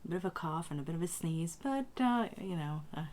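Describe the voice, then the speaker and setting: A woman recovering from a cold with a strained voice, speaking haltingly as a tarot reader talking casually to her viewers. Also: on the low side, animated, darkish tone. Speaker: tarot reader and channel host. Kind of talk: casual spiritual talk to camera.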